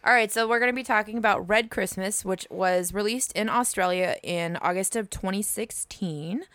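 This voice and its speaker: A woman's voice: mid-range voice, normal speed, fairly bright tone, dry recording. A woman co-hosting a casual movie podcast, laughing often and swearing freely. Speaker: podcast co-host. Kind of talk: casual chat and movie review.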